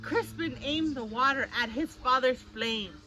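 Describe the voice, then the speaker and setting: A woman reading a picture book aloud in an animated way, asking young listeners questions as she goes. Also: high-pitched, a little slow. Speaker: children's story-time reader. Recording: dull lo-fi audio with music behind.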